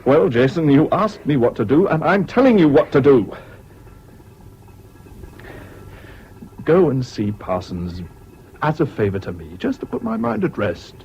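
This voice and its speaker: An actor in a 1970s British radio drama playing a sensible, patient friend who speaks in a measured, frank way. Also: male voice, a little high, big pitch swings, creaky, a little mellow. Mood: insistent. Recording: dull, faintly noisy old radio recording.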